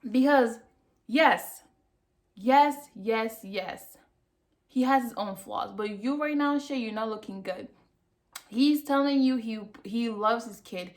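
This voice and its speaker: A woman's voice: a little high, takes it slowly. A woman from Cameroon, giving a casual review of a TV show on her channel and stressing her points by saying them twice. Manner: emphatic, repeats herself for effect. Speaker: vlogger.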